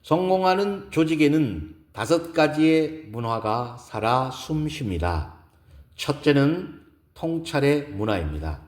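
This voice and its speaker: A man's voice: on the high side, very animated, echoey room, voice pushed up. A man voicing a poised woman executive who gives an earnest, formal lecture in a scripted audio drama. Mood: earnest, inspiring. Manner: formal, deliberate, rhetorical.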